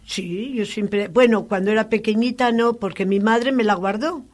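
An older woman from Cantabria in Spain, recalling her life in a halting, repetitive way during an oral history interview. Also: medium pitch. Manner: halting, repetitive, wandering recollection.

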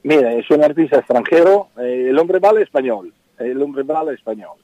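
A man's voice: mid-range voice, lively intonation, normal speed, warm tone, dry recording. Spanish man with Italian accent, dull sound. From Spain. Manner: hesitant, repeats words, says "mire" often. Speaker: circus owner. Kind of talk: radio interview answers.

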